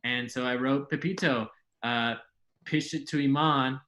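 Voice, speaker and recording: A man's voice: mid-range voice, fairly even intonation, measured pace, a bit bright. A young man, a Mexican American writer and actor from Texas, telling a story in an easygoing, hesitant way with many fillers. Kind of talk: casual interview.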